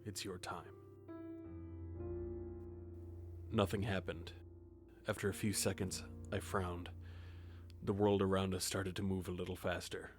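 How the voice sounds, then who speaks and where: Male actor as ageless grim reaper narrator: very low voice, restrained and grave, audio drama over clear music. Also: flat delivery, normal speed, close to an everyday voice. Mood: solemn, insistent. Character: grim reaper narrator, centuries old, detached, puzzled. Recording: music behind the voice. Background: music with guitar.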